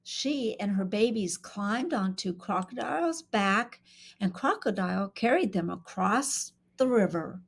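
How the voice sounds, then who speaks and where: A woman's voice: medium pitch, vocal fry, breathy edge. A woman reading a children's storybook aloud expressively, as a school reader does in an interactive session. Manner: expressive, pauses to ask questions.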